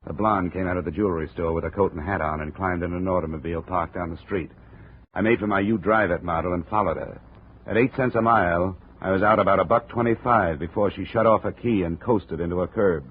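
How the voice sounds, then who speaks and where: An actor playing a wry, hard-boiled insurance investigator who narrates a 1950s radio drama in dry, sardonic first person. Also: male voice, low-pitched, fairly quick, lightly acted. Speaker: narrator and lead. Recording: tinny old radio broadcast, faint hiss.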